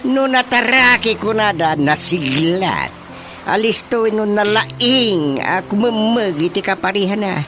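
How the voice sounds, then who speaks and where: An actor with an Ilocano accent playing a teasing, drawling village official in a radio comedy drama. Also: male voice, high-pitched, animated, measured pace, pushed and bright, faint music behind, full character voice.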